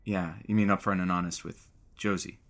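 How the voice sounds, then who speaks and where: A man's voice: low voice, level tone, fairly quick, a bit bright. A man acting as an awkward, conciliatory sidekick in a radio studio, casual and a little hesitant. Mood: placating. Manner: hesitant, placating. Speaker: radio co-worker who reads the credits.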